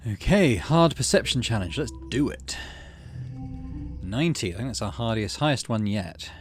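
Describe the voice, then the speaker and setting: A man's voice: mid-range voice, animated, normal speed, faint music behind. A man hosting a game playthrough, reading story text aloud expressively and adding casual asides. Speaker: gaming video host.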